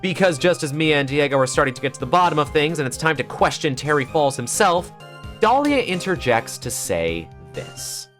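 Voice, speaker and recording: A man's voice: a little high, sing-song, moderate pace, fairly bright tone, faint music behind. A man delivering scripted, analytical video essay narration in an earnest manner with dramatic emphasis.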